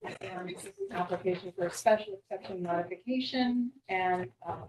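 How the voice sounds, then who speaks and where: A woman chairing a town commission meeting, brisk and businesslike as she moves through the agenda. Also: mid-range voice, sing-song. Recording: echoey meeting room with background noise.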